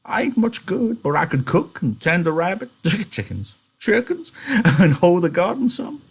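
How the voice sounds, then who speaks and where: A man voicing an eager, hopeful old male ranch hand with rustic, excitable speech in a novel reading. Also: sits high, moderate pace, pitched above the performer's own voice. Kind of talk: audiobook-style character reading.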